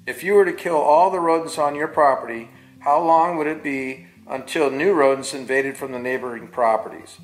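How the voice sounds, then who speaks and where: Older man explaining plainly in a roomy room. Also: fairly high pitch. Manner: measured, matter-of-fact explaining. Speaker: falconer and barn owl box installer. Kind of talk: scripted educational narration. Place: living room by a fireplace. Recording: roomy sound with faint music.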